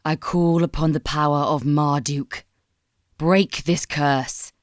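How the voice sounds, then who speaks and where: A low-pitched female gargoyle sorceress, acted out by a woman, imperious and desperate for her beloved. She intones like someone casting a spell in an audio drama, close and dry. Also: steady tone, takes it slowly, no echo. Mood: commanding, invoking. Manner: imperious, imploring incantation delivery. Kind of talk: dramatic audio fiction acting.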